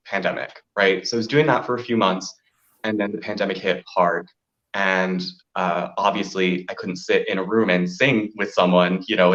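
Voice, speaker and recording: A man with a deep voice telling stories casually, as an interview guest who performs in drag. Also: a bit bright. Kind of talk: casual interview chat, storytelling. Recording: dull, lo-fi audio.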